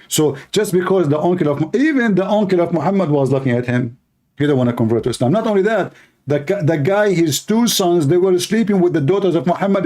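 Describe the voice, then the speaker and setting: A man's voice: a little high, darkish tone. A man with an Arabic accent, a native Arabic speaker, hosting informal live teaching in a mocking, repetitive way full of rhetorical questions. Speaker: live stream host and religious polemicist.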